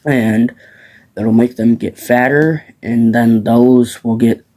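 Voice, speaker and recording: A man's voice: mid-range voice, fairly even intonation, slow, warm tone. A teenage boy from the Midwest, rambling casually about farms outdoors at dusk, with lots of fillers and restarts. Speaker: farm vlogger.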